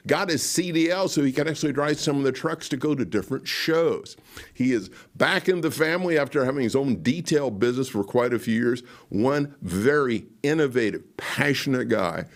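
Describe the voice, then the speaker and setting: A man hosting a car culture interview, excitable and folksy, his voice a little hoarse. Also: mid-range voice. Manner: excitable, folksy, frequent laughs. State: slightly hoarse. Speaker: podcast host. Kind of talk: casual enthusiastic interview.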